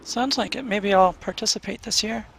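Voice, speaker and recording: A fellow streamer giving brief, relaxed replies in a casual late-night chat. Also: medium pitch, moderate pace, creaky, slight background noise, rough recording.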